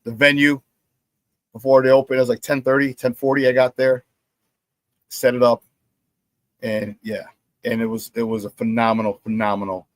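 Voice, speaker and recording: Brief: middle-aged male sports talk host, hoarse, dry close mic, animated and repetitive. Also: mid-range voice, moderate pace, long pauses, dry recording. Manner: animated storyteller who repeats phrases and laughs at his own stories. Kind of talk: casual sports talk monologue.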